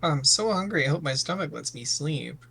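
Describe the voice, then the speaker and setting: A man acts a sensitive misfit son in a fantasy drama. His voice is rather high and bright. He hesitates and stammers, earnest and anxious. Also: animated, normal speed, creaky, slightly rough, heavily acted.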